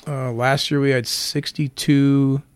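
A man, hoarse from being sick, answering interview questions in a plainspoken way with many fillers. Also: medium pitch, little pitch movement, takes it slowly, no echo. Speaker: county sheriff guest.

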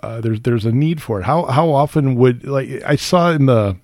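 A man hosting a casual interview, asking questions with stammering restarts and quick friendly interjections. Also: mid-range voice, darkish tone, dry recording. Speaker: radio talk show host.

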